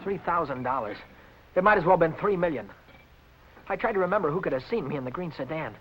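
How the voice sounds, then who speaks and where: A man's voice: on the high side, expressive, fast talker, natural voice. An actor playing a cornered young working man in a 1950 film noir, hesitant when pressed. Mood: bleak, desperate. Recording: muffled, very noisy old film soundtrack.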